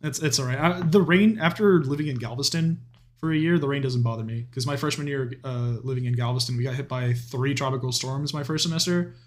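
A young man, co-hosting a podcast in casual chat, animated, laughing often and swearing. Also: mid-range voice, fairly quick. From Texas. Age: 20s. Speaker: podcast co-host.

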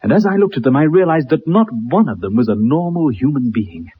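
An actor playing a bitter, haunted young ex-soldier in a dramatic 1960s radio play. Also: male voice, medium pitch, sing-song, fairly quick, slightly rough. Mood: haunted, horrified. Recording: muffled, dull old radio recording.

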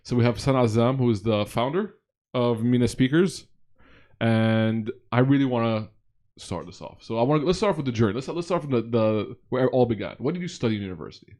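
A man's voice: medium pitch, lively intonation, a little fast, darkish tone. A man hosting an online interview show, talking in a casual rambling way with frequent restarts, over a video call. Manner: rambling, repeats himself, friendly. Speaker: podcast host.

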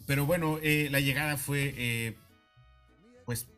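Bright-voiced Mexican man, hesitant. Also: mid-range voice, a little slow, soft background music. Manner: hesitant, relaxed, many fillers. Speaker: guest poet. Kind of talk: casual radio chat.

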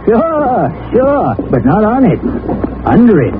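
An actor playing a greedy, cold-blooded American homesteader with folksy rural speech in a 1940s radio crime drama. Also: male voice, high-pitched, huge pitch swings, takes it slowly, music in the background, heavily acted. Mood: cold, menacing. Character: menacing, greedy, cold-blooded homesteader villain, adult man. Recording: scratchy, narrow-band 1940s radio recording with noise.

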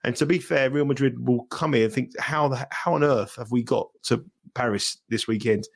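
London-accented male betting analyst, chatty and animated, mid-range voice. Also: medium pitch, normal speed, creaky, breathy edge. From England. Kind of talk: podcast interview.

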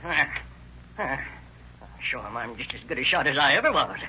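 An actor playing an excitable, folksy old rancher who stammers, in 1930s radio drama style. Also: male voice, mid-range voice, normal speed, a little hiss or hum. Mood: cranky defiance. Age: older. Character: frightened, indignant old male rancher. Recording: muffled vintage radio recording.

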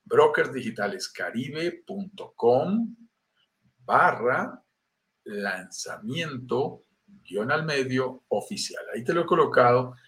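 A man's voice: medium pitch, lively intonation, takes it slowly, fairly bright tone. Colombian man presenting a live webinar. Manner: explanatory, addresses viewer directly, frequent "eh" fillers. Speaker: real estate investment presenter.

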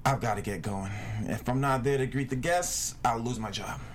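A man's voice: mid-range voice, normal speed, a little hiss or hum, close to an everyday voice. A man playing an earnest, lovestruck young porter in a table read of a period drama. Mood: tender.

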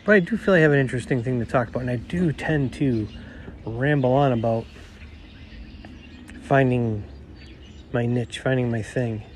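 Nasal middle-aged guy rambling on his podcast, sitting outside with soft birdsong around, not really projecting. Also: medium pitch, moderate pace, long pauses, slight background noise. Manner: rambling, self-deprecating. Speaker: podcast host. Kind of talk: casual solo monologue. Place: outdoors.

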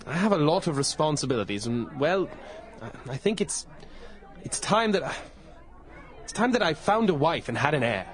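An actor playing a formal, somewhat hesitant aristocratic gentleman in a period audio drama. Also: a little high, big pitch swings, normal speed, a faint crowd. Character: English lord, reasonable, evasive, reserved aristocratic gentleman.

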